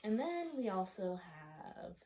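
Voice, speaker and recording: A woman's voice: medium pitch, sing-song, takes it slowly, warm tone. A young woman chatting casually in a book vlog, rambling and correcting herself with lots of ums, her speech a little altered by the retainer she is wearing. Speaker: book vlogger. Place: outdoors or by a sunny window at home.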